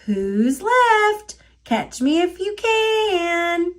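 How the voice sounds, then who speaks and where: Woman voicing a high, singsong, cheeky gingerbread baby. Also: sing-song, extremely slow, heavily acted. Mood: boastful, taunting. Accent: American. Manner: singsong, taunting. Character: cheeky, boastful little gingerbread baby boy.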